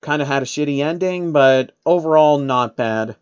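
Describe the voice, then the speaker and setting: A man reading stories aloud as an amateur narrator, with an informal and somewhat halting delivery. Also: on the high side, smooth. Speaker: host reading fan fiction aloud. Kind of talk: amateur story narration with casual commentary. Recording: dull, lo-fi microphone sound.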